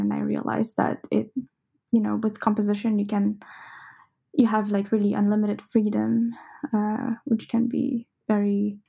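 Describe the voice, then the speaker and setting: A young woman composer, raised speaking Vietnamese, answering interview questions thoughtfully with many pauses and fillers. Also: mid-range voice, gravelly, mellow, husky. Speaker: composer guest.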